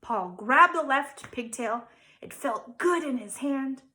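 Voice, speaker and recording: Woman, schoolteacher storyteller, bright forward voice, swooping intonation, dramatic pauses, children's read-aloud. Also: high-pitched, expressive. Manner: dramatic emphasis, theatrical pauses. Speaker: elementary school teacher reading aloud. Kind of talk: expressive children's storybook narration.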